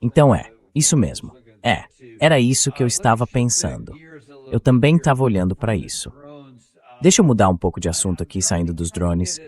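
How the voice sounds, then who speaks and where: A man reading an interview host's questions in a measured, explanatory way, as a translated voice-over. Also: on the low side, very animated, a little mellow. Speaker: interview host.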